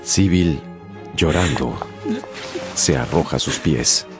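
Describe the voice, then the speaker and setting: A man narrating a radio drama in a scripted, theatrical and deliberate storytelling style. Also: a bass voice, music in the background.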